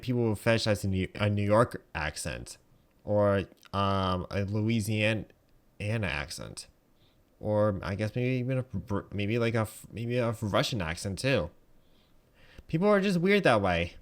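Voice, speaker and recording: A man streaming a game, rambling and full of fillers as he comments. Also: on the low side. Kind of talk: gaming commentary.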